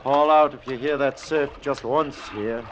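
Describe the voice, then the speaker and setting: A man's voice: medium pitch, steady tone, unhurried, lightly acted. A man playing a weary but composed sea captain in an old radio drama, giving terse, authoritative orders. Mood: weary, steady. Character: weary, steady shipwrecked sea captain, calmly commanding. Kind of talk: 1940s-50s radio acting. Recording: muffled, noisy old radio recording. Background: water and rowboat sounds.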